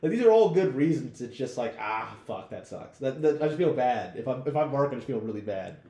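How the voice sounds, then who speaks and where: Young male podcast co-host, gravelly and mellow, casual slang and swearing, roomy sound. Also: mid-range voice, lively intonation, fairly quick. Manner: slangy, profane.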